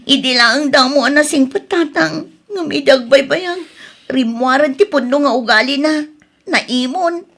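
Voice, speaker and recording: A woman playing a troubled young wife, high-voiced. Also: a bit bright. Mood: bitter. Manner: pleading, plaintive, emotional. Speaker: daughter in audio drama. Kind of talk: Ilocano audio drama acting.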